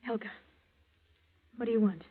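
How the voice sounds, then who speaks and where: A woman's voice: a little high, moderate pace, husky. An actress playing an anxious but resolute young woman in a 1940s radio thriller, tremulous and earnest, on a tinny recording. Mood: wary, defiant.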